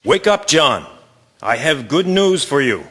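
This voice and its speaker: A man's voice: fairly high pitch, sing-song, measured pace, noisy background. A man playing a caring, hearty wartime army colonel in a radio drama, speaking in formal, declamatory phrases. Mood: cheering, fond.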